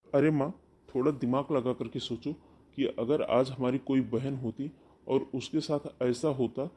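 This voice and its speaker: A man's voice: medium pitch, steady tone, unhurried, mellow, natural voice. A man voicing a firm elder brother. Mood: reasoning. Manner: firm, lecturing.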